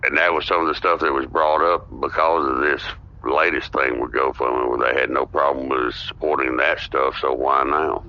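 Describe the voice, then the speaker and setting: A man's voice: extremely low pitch, level tone, normal speed. A man talking as a podcast guest in casual political chat. He agrees readily and fills his talk with "you know". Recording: muffled lo-fi with faint noise.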